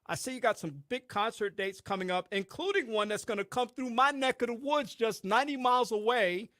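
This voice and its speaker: Guy hosting a radio show, pretty high voice, laughs a lot, dry studio sound. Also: pushed and bright, no room sound. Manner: friendly, laughs often, drawn-out host phrasing. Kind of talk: casual radio interview.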